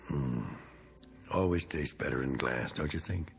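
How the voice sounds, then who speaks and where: An actor playing a needling, bitter middle-aged American war veteran with folksy, slangy talk in a vintage TV drama. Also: male voice, rumbling low, a little slow, soft background music, heavily acted. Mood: needling, affable. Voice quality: gravelly. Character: needling, bitter male war veteran, rough working man. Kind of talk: 1960s television drama acting.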